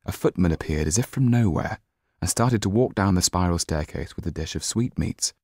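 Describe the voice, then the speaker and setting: A man narrating a novel as an audiobook in expressive, measured storytelling. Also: low-pitched, husky, no echo, studio quality.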